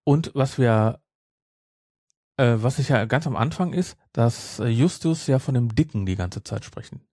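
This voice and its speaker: A man's voice: mid-range voice, animated, measured pace, warm tone, no echo. Casual male podcast host, dry sound. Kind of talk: casual discussion of an audio drama.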